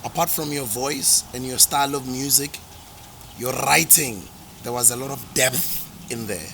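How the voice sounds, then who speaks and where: A man's voice: medium pitch, vocal fry, sharp tone, slight background noise. A man hosting a podcast, asking long earnest questions and correcting himself as he goes. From South Africa. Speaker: podcast host. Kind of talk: casual interview.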